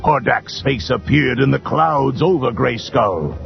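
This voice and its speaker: A man's voice: mid-range voice, animated, measured pace, gravelly. A man narrating a children's adventure storybook in a dramatic, scripted style. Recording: dull lo-fi tape with music behind.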